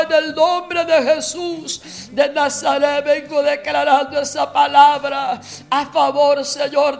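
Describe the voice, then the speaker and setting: A woman's voice: high voice, creaky. A woman preacher leading a fervent, declamatory healing prayer and speaking in tongues.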